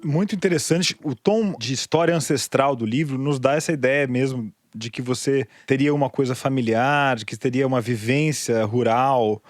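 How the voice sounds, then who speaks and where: A man's voice: medium pitch, normal speed, few pauses, no echo, clean studio sound, faint music behind. A man hosting a book podcast, asking engaged, inquisitive interview questions.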